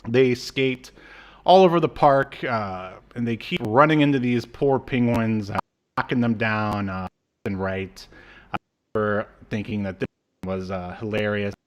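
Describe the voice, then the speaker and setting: A man in his thirties hosting a casual review chat, speaking in a halting, hesitant way. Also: lowish voice. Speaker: podcast host. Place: small room at home, video call.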